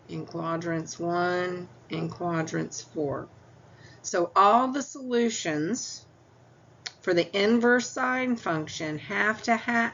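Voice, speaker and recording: A woman teaching math in a recorded lecture, explaining each step patiently, with a stuffy nose and clearing her throat now and then. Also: lowish voice, a bit bright. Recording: dull lo-fi audio with faint hum.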